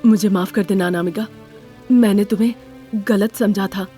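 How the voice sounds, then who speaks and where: A woman's voice: mid-range voice, fairly even intonation, moderate pace, warm tone, faint music behind, close to an everyday voice. A woman voicing a troubled, fretful injured husband in a moral story. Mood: remorseful. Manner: complaining, troubled. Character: injured husband in wheelchair, fretful, suspicious, later remorseful.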